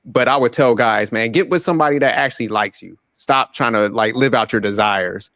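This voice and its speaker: A man in his forties hosts a casual panel podcast, with a mid-range voice recorded close and dry. He talks in a relaxed, rambling way and often stumbles over repeated words. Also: medium pitch, brisk, no room sound. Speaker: podcast host.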